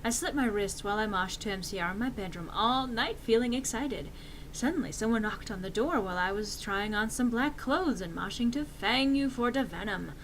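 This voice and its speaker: High, lively woman giving a theatrical reading. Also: normal speed, a little hiss or hum, low-quality recording. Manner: theatrical, swinging into shouts for quotes. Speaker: dramatic reader of fan fiction. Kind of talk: exaggerated dramatic reading of fan fiction.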